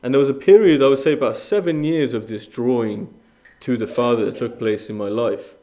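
A man's voice: mid-range voice, brisk, warm tone. Young man from Iran, guest preacher, mid-range voice, earnest and conversational, restarts often. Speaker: guest speaker. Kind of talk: sermon with personal testimony.

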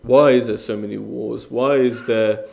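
A man's voice: mid-range voice, fairly even intonation, normal speed, creaky, a little mellow. A young man from Iran addresses a congregation as a guest preacher. His testimony is earnest and conversational, and his mid-range voice stumbles into restarts and fillers as he speaks. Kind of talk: sermon with personal testimony.

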